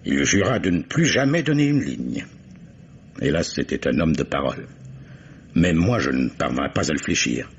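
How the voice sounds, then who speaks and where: An actor playing a grave, formal older gentleman publisher in a radio mystery, speaking in deliberate, literary phrases. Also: sing-song, exaggerated voice. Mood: grave, dramatic. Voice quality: very deep, husky. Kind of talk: French radio mystery drama acting. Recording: dull old radio recording.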